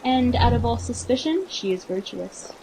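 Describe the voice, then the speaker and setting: A woman's voice: on the high side, lively intonation, moderate pace, mellow, slight background noise, rough recording. A woman acting a playful, scheming prince in an amateur Shakespearean radio play. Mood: feigned concern. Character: courtly male prince, playful, scheming leader.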